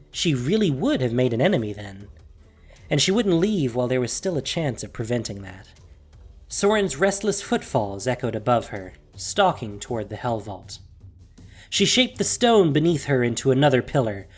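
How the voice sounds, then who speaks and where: Audio drama narrator: husky, lively intonation, measured dramatic delivery, faint music underneath. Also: mid-range voice. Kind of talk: scripted dramatic story narration.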